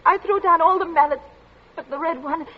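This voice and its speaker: High-voiced, distraught young daughter, stammering. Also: female voice. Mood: hesitant, fearful. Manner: halting, stammering, tearful. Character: distraught, frightened young daughter confessing to her stern father. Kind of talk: 1940s radio drama acting. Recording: muffled, noisy old radio recording.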